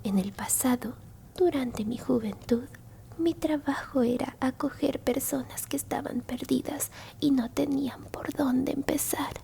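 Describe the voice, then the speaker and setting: A woman voicing a kindly elderly alien grandmother in a slow, hushed, soothing ASMR roleplay. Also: high voice, creaky, a little hiss or hum. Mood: polite, businesslike.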